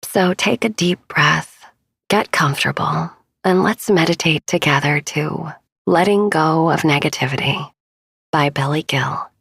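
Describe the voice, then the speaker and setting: A woman narrates a sleep meditation in a gentle, intimate manner. Her voice sits a little low and is husky with a gravelly creak. The sound is dry and close, and she takes her time. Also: unhurried, dry recording. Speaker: podcast host and meditation guide.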